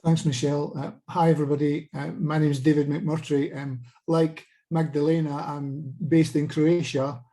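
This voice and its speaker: Measured Scottish man, mellow tone. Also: fairly high pitch, little pitch movement. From Scotland. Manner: measured, thoughtful, frequent small restarts. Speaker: participant asking a question. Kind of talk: webinar discussion. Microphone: video call.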